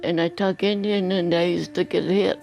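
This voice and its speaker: Older woman, very low gravelly slow voice. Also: steady tone, a little mellow, faint music behind. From United States. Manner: halting and hesitant. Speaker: interviewee. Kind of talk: interview testimony.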